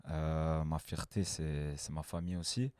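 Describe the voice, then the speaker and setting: Young guy in his twenties, very low mellow voice, easygoing and plain-spoken. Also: unhurried, dry recording, studio quality. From France. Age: 20s. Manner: relaxed, simple, with fillers. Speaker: guest, hairdresser. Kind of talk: casual interview answers.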